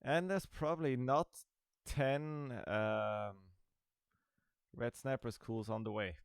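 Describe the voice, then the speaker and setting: A man's voice: medium pitch, steady tone, slow, smooth, no echo. A man giving casual, rambling commentary over a game he is playing, with dry, sarcastic asides. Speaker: gaming video creator.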